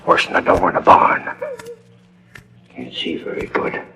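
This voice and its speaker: An actor playing a sneaking masked burglar in 1940s radio style, muttering and whispering in folksy American speech. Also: male voice, a little slow, sharp tone. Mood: furtive, tense. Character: sneaking masked male burglar, muttering, jittery, rough-spoken. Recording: old 1940s radio transcription disc.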